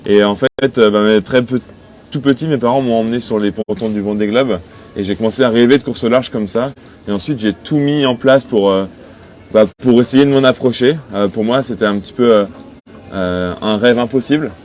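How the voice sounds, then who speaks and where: A man's voice: mid-range voice, fairly quick, a little mellow. A man of twenty-five from western France, answering interview questions conversationally as a skipper. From Cholet, western France. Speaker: sailing skipper being interviewed. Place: aboard a sailing boat in port. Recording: noticeable background noise on location.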